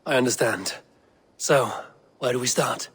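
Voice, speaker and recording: A man acting as a steady, loyal Viking warrior in a game cutscene, speaking in a terse, stately way. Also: medium pitch, measured pace, breathy edge, exaggerated voice. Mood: businesslike.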